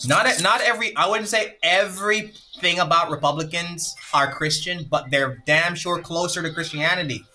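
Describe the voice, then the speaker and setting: Excitable man from Jamaica with bright voice. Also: a little high, moderate pace, hardly any pauses, slight background noise. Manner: excitable, repeats himself, laughs often. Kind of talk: casual live-stream chat.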